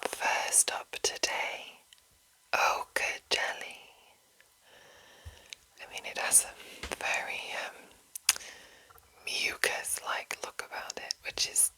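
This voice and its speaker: A woman hosting ASMR, chatting and reading aloud in a soft, breathy, unhurried whisper. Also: low-quality recording. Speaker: ASMR host.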